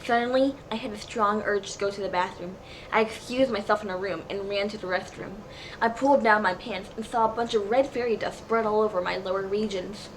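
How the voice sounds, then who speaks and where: A woman's voice: mid-range voice, steady tone, a little fast. A woman narrating a comedic dramatic reading of fan fiction in a mock-serious storybook manner. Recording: clearly noisy home recording.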